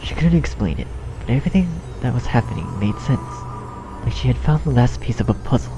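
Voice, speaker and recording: A man's voice: mid-range voice, lively intonation, fairly quick, rough recording. A man reading a scripted story as narrator, in a dramatic storytelling style. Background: music.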